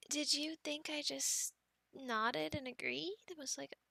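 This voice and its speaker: A young woman voicing an affectionate, teasing girlfriend in an ASMR roleplay, speaking softly, giggling and dropping into whispers, close to the mic. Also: sits high, gravelly, no echo, full character voice. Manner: soft and giggly, drifting into whispers.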